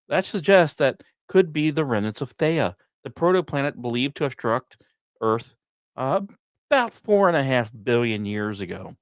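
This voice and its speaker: A man hosting a podcast, reading scripted science narration in a measured, explanatory way, recorded close. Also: mid-range voice, dry recording. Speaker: podcast host.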